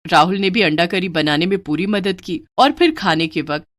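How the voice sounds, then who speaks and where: A woman's voice: lowish voice, normal speed, fairly bright tone. A woman reading scripted narration for a moral story as its storyteller.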